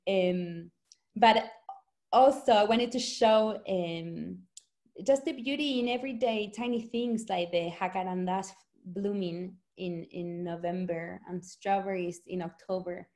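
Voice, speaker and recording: A woman's voice: mid-range voice, unhurried. A woman in her forties from Argentina with an Argentine accent, talking earnestly and reflectively about home in a video-call interview. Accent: Argentine, from Rosario. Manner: earnest, reflective, speeds up when excited. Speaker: author. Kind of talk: conversational interview. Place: small home room.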